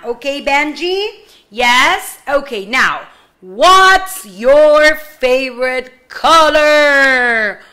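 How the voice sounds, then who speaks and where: Bright, slow-talking young teacher woman. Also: high-pitched. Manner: slow, drawn-out model phrases with playful asides. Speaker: ESL teacher for kindergarten. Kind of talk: online class for young children, mixing English and Spanish. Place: room at home in front of a slide screen.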